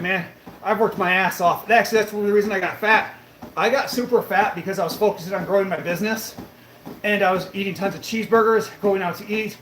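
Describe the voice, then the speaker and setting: A man's voice: high voice, a bit bright. A man in his late thirties, livestreaming while on a treadmill, brash and boastful, a bit out of breath. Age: 30s. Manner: boastful and brash, swears casually. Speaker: fitness livestreamer. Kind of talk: casual livestream chat reading comments. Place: gym on a treadmill. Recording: echoey gym room with faint noise.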